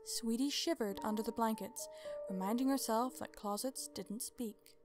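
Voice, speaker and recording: A woman narrating a story as host, in an expressive, measured, dramatic storybook style. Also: fairly high pitch, music in the background.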